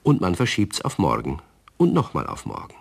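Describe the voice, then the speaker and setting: A man narrating a children's radio story calmly, speaking to young listeners. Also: low-pitched, normal speed, gravelly, husky.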